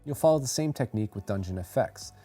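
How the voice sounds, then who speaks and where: A man reading a scripted how-to voice-over as a tutorial narrator, giving clear step-by-step instructions. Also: fairly low pitch, animated, quick pace, dark tone, soft background music.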